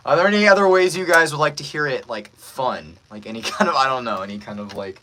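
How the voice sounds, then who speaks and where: A man's voice: mid-range voice, fast, bright, forceful tone, slight background noise. A man who works as a voice actor, hosting a casual livestream and politely answering viewer comments with self-deprecating asides.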